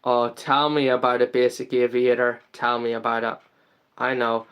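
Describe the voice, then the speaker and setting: Uk guy on a live stream, mid-pitched voice, chatty and rambly, lots of ums, says hi to everyone in chat. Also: level tone, moderate pace, fairly bright tone, smooth. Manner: chatty, reads out viewer comments and greets viewers by name; many ums and uhs, rambling.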